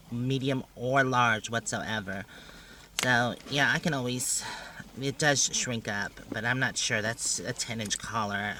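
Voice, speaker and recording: A man's voice: mid-range voice, pushed and bright, a little hiss or hum. A man chatting casually for a travel vlog in an informal, playful way. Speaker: travel vlogger.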